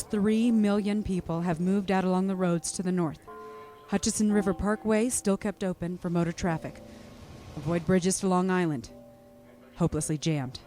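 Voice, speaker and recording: A woman playing a grave, doomed eyewitness reporter in a radio drama, speaking in clipped, halting bulletin phrases. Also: fairly high pitch, lightly acted.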